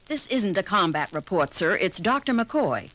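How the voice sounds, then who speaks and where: An efficient communications officer in an audio drama, crisp and dutiful. Her voice is bright and lively in pitch. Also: moderate pace.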